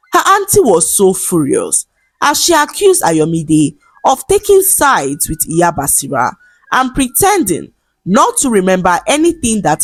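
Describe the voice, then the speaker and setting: A woman's voice: lowish voice, breathy edge, dry recording. Female folktale narrator. Dramatic, very melodic delivery, dry close sound over faint music. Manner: expressive, dramatic storytelling delivery. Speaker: storyteller.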